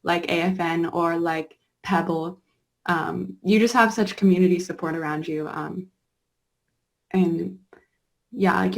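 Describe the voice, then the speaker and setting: A young woman activist from Alaska speaking haltingly and earnestly, with many fillers. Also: lowish voice, gravelly. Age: young adult. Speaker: youth activist guest. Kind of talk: casual panel conversation.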